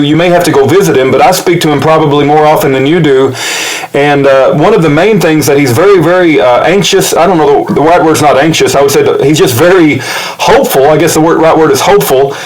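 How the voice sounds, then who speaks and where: A man with a fairly high voice makes an informal spoken appeal as a supporter of a cause. He is polite and earnest, and he often halts to search for the right word. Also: poor audio. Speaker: activist supporter leaving a voicemail and recording it for upload. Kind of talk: informal spoken appeal, phone message and update.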